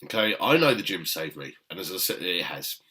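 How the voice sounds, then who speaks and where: A British man, a personal trainer with a very deep voice, talking emphatically to camera with frequent "okay?" asides and fillers. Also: very animated, fairly quick, sharp tone. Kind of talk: unscripted talk to camera.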